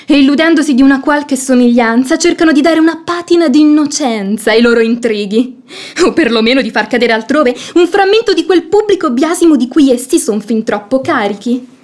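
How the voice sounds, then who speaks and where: Shrewd young maid, high voice, mocking, sardonic, articulate, theatrical radio drama. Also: female voice, animated, fairly quick, darkish tone. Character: sharp-tongued, outspoken young maidservant, mocking and shrewd. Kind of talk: theatrical radio play acting.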